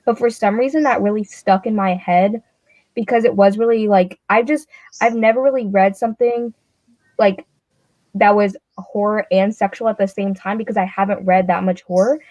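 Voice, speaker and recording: A woman's voice: medium pitch, fairly even intonation, moderate pace, darkish tone, no room sound, muffled recording. Rambling woman, dry close sound. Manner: rambling, searching for words. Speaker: book club co-host. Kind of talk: casual chat.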